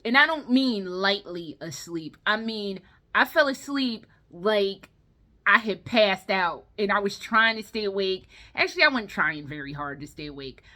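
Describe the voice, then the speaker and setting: A woman's voice: medium pitch, animated, measured pace, bright, forceful tone. A tired woman giving a casual, rambling review in a vlog, blunt and freely profane as she talks. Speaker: video game and movie vlogger.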